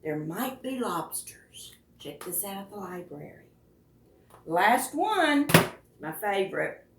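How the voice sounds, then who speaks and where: A woman reading picture books aloud to preschoolers as a storytime host, with expressive, deliberate phrasing and questions to the children. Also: mid-range voice, heavy background noise. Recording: roomy, echoing, distant microphone.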